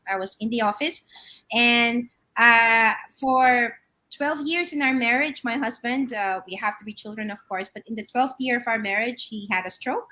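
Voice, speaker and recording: Middle-aged woman from the Philippines, bright higher voice, video-call guest from a home office, chatty with restarts. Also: rough recording. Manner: warm storytelling with frequent fillers and restarts. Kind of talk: live online interview.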